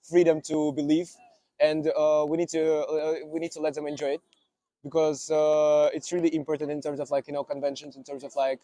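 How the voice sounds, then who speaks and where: Young man, student guest; mellow tone, dry and close, halting. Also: fairly high pitch, flat delivery, dry recording. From Kyrgyzstan. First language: Russian. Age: young adult. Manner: hesitant, many fillers and restarts. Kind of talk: casual conversation. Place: outdoor city park.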